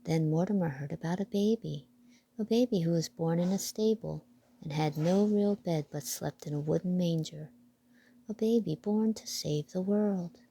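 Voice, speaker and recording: A woman reading a children's picture book aloud with deliberate storytelling phrasing. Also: lowish voice, creaky, warm tone, husky.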